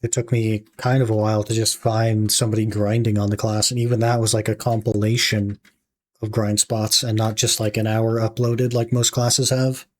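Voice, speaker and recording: A young man streaming a game, his voice gone a little raspy from talking. He muses aloud to his chat in a relaxed way while he plays. Keyboard typing can be heard behind him. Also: fairly low pitch, moderate pace. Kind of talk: casual gaming livestream chat.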